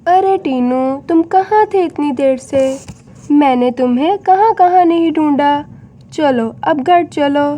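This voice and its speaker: A woman's voice: high voice, slow, warm tone. A woman voicing a kindly, caring human grandmother in a cartoon story. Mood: concerned. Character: kindly human grandmother raising a bird chick.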